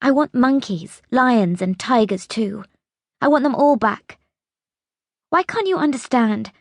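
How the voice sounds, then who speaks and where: A woman's voice: fairly high pitch, expressive, measured pace, dry recording, voice pushed up. A woman voicing a bitter, insistent young girl in an audiobook story, pleading and accusing with emphasis. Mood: demanding, petulant. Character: bitter, demanding young girl pleading with her great-grandmother.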